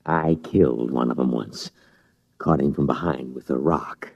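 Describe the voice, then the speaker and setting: An actor playing a half-crazed, vengeful camp inmate in a 1950s radio drama, halting and muttering. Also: male voice, rumbling low, creaky, breathy edge, dry recording, exaggerated voice. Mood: gleeful, deranged. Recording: dull old radio recording, loud music underneath.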